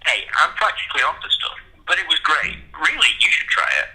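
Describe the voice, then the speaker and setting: An actor playing a wry, rambling, hard-drinking bohemian poet in naturalistic radio drama, candid and self-mocking. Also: male voice, fairly high pitch, very animated, a little fast, bright, forceful tone, husky, exaggerated voice, rough recording. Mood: rueful, animated. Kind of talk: naturalistic radio-play dialogue.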